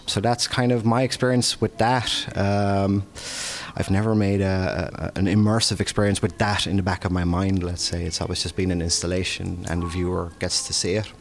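Deep-voiced man answering thoughtfully. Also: little pitch movement, moderate pace, vocal fry. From Irish and Dutch, based in Amsterdam. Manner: reflective, rambling, with "uh" and "you know". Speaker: artist, interview guest. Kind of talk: conversational interview answers.

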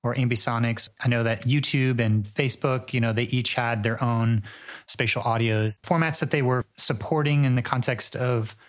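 A man's voice: medium pitch, fairly even intonation, moderate pace. Casual podcast host man. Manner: casual, filler-heavy questioning. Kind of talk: podcast intro and interview questions.

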